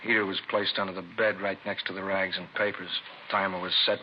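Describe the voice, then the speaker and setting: Methodical low-voiced arson investigator, played by a man. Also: flat delivery, fairly quick, close to an everyday voice. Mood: explanatory. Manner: matter-of-fact, short explanatory phrases. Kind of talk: 1950s radio crime drama acting. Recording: dull, faintly noisy vintage radio recording.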